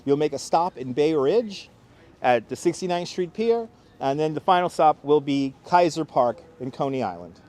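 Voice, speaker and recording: A man with a Brooklyn New York accent, chatting casually to live-stream viewers as a local guide, speaking clearly and deliberately. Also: a little high, animated, darkish tone. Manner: clear, deliberate enunciation, rambling. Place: outdoors on city streets by the seaside. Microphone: wireless clip-on mic. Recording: outdoor urban street noise.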